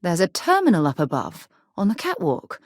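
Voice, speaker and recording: A woman playing an earnest, sharp-minded space explorer companion in a video game, speaking articulately and formally. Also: lowish voice, wildly swooping pitch, moderate pace, dry recording, clean studio sound, exaggerated voice. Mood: composed. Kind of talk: scripted game dialogue acting.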